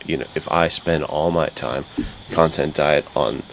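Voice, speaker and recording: A man with an exceptionally deep, bass voice co-hosting a casual podcast. He speaks earnestly and informally, in a conversational flow. There is faint noise in the background. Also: fairly even intonation, unhurried, creaky, low-quality recording. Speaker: podcast co-host.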